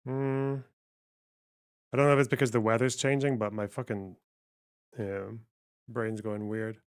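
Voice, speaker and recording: A man's voice: medium pitch, little pitch movement, unhurried, smooth. A man giving blunt, wry, sweary commentary on news during a live stream.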